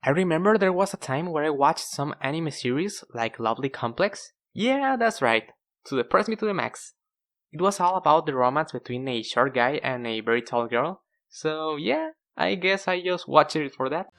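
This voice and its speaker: A young man with as his language hosts a casual, comedic video. His voice sits on the high side for a man and swings widely in pitch as he pokes fun at himself. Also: moderate pace. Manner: self-deprecating, animated. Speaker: video host. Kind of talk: casual scripted comedic narration to viewers.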